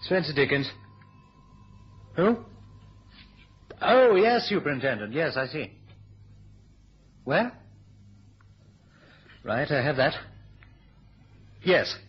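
An actor playing a polite, anxious, questioning colleague of a secret agent in a 1970s radio drama. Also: male voice, on the high side, expressive, slow, long pauses, breathy edge, exaggerated voice. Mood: concerned, businesslike. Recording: muffled, noisy 1970s radio recording.

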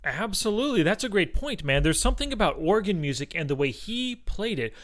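A man's voice: high voice, very animated, a little fast, a bit bright. A man hosting a casual movie podcast, talking in a chatty, slangy, enthusiastic way. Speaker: podcast host.

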